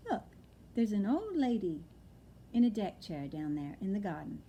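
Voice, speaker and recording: A woman reading aloud and voicing a mother barn owl, gentle but firm. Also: mid-range voice, sing-song, normal speed, slight background noise.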